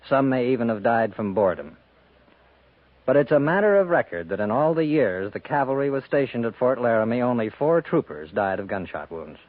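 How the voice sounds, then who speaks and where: An American man giving solemn, measured scripted narration in the manner of 1950s radio. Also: medium pitch, dry recording. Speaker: director and narrator. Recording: narrow-band 1950s radio recording.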